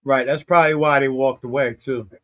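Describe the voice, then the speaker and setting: A man's voice: medium pitch, fairly even intonation, brisk, a crowd in the background. A man with an ordinary mid-range voice chimes in on a live stream. He stops and restarts with frequent ums as he reacts to the clip. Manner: hesitant, lots of ums, swears and laughs. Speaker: stream co-host. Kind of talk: casual commentary on a live stream.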